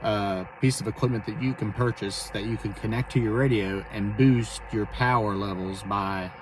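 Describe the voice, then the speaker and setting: A man talking casually and haltingly as he explains his amateur radio hobby in a vlog from inside a vehicle. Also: lowish voice, fairly even intonation. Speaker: amateur radio operator and video host. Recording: distant mic, clear vehicle and radio noise.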